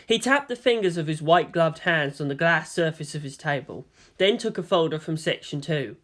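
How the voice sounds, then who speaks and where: A man reading a story as narrator in a dramatic, expressive scripted style. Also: a little high, moderate pace. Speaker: narrator of fan fiction.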